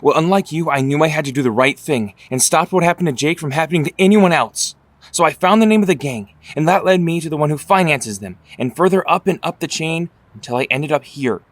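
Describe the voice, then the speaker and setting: A man's voice: a little high, animated, fairly quick, no echo, natural voice. A man playing an earnest, defiant young superhero vigilante who justifies himself, in an audio drama. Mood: earnest, defensive.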